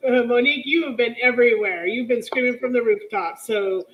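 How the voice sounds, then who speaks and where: A woman hosting a live stream who chats in a relaxed, easygoing way and laughs easily. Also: fairly high pitch, a little fast, lo-fi sound. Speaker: show host. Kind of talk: live-stream casual chat.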